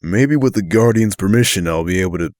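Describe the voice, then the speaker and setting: A man's voice: sits low, animated, a little fast, darkish tone, no room sound, clean studio sound. A man playing a tense young male warrior on the run in an audio drama, speaking with urgency. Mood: tense, urgent.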